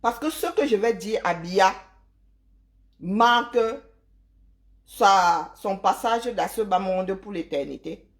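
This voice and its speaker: A woman speaks straight to her live-stream viewers in a firm, lecturing monologue. Her pitch sits in the middle range, and she drives each point home with rhetorical questions and emphatic asides. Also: animated, takes it slowly, pauses often. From Cameroon.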